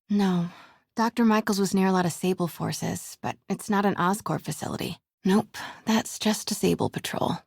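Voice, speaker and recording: A woman playing a wry, curious, quick-witted young woman in a video game, muttering to herself as she sneaks around, with a breathy voice. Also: medium pitch, no room sound, clean studio sound. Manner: wry, hushed self-talk.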